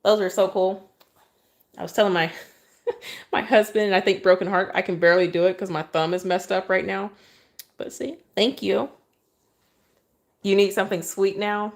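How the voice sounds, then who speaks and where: A woman from Oklahoma with a Southern accent and a soft, calm voice, chatting casually on a livestream while she decorates a cake and keeps thanking viewers. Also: mid-range voice, moderate pace, vocal fry, pauses often. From Oklahoma, USA. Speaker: cake decorator live-streaming. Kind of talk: casual livestream chat while piping icing. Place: indoor kitchen counter.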